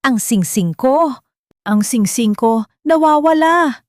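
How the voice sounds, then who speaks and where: A woman voicing an angry, distraught elderly grandmother in an audiobook, shrill and trembling, with a Filipino accent. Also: expressive, slow pace, dry recording, studio quality. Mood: angry panic.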